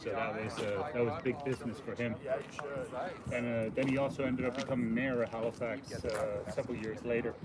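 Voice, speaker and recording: A man hosting a casual unscripted walking livestream outdoors, explaining things in a halting, informal way. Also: mid-range voice, fairly even intonation, over a noisy crowd. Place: outdoors on a city waterfront. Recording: noisy outdoor city audio with traffic.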